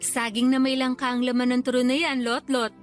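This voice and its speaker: Woman acting a caring mother, slightly high voice. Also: little pitch movement, fairly quick, soft background music, natural voice. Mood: cheerful, motherly. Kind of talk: radio drama acting.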